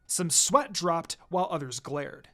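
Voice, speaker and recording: A narrator reading fan fiction aloud in a scripted, expressive storytelling style. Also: mid-range voice, unhurried. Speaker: story reader.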